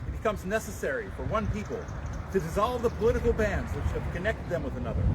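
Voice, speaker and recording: Man, high voice, deliberate dramatic oratory, reading a historical document aloud, very noisy outdoor street recording. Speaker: public reader at a commemoration. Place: outdoors at a memorial site. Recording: noisy outdoor live stream, traffic.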